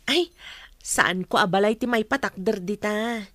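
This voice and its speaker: A woman's voice: mid-range voice, measured pace, a bit bright, dry recording, natural voice. A woman with an Ilocano accent playing a friendly, frank mother in an audio drama. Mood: explaining.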